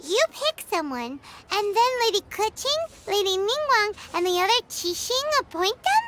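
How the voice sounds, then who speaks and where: A woman voicing a tiny, chirpy female fairy companion in a video game, with a squeaky, bouncy voice. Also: sing-song, moderate pace, noisy background. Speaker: game character.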